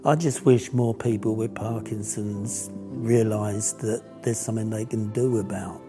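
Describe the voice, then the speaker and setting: A man's voice: on the low side, steady tone, unhurried, music in the background. A man recounting his experience earnestly in a documentary-style interview. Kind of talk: interview testimony.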